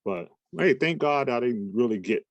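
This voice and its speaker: A man's voice: medium pitch, moderate pace, no room sound, dull audio. A man in his fifties, a talent manager, chatting casually in an interview and telling long, meandering stories full of fillers and restarts. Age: 50s. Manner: rambling, relaxed storytelling with many fillers and restarts.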